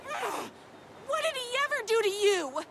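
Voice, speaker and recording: Casting: overdramatic devoted fangirl heroine for a video game; high voice, animated, earnest, quick to indignation. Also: noisy background. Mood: indignant. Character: overdramatic devoted female fan turned heroine, feisty and lovestruck. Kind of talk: hammy game acting with first-person story narration.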